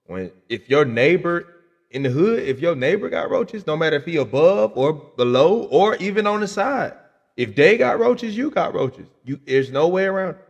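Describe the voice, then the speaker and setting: A man's voice: fairly high pitch, very animated, normal speed, highs cut off. A man with an American accent giving slangy, emphatic livestream commentary and speaking straight to his viewers. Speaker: livestream reaction host.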